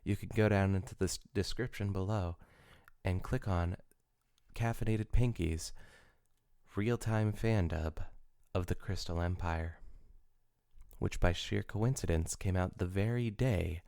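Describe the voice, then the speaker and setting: A man's voice: sits low, unhurried, gravelly, warm tone. A man hosting a casual comedy podcast, joking and rambling with some swearing. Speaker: podcast host.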